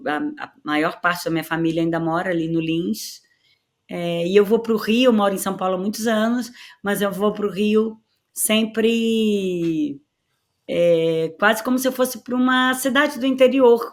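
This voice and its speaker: A woman with a softened Carioca accent, telling stories in a lively way with many restarts as the guest in an interview. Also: on the low side. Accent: Carioca Brazilian Portuguese, softened. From Rio de Janeiro, Brazil. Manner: animated storyteller, many restarts and laughs. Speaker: guest, actress. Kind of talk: relaxed interview conversation.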